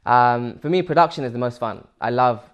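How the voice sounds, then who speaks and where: A man's voice: medium pitch, brisk, clean tone, highs cut off. A young man from Sri Lanka, answering interview questions casually with many fillers and restarts. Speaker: musician guest.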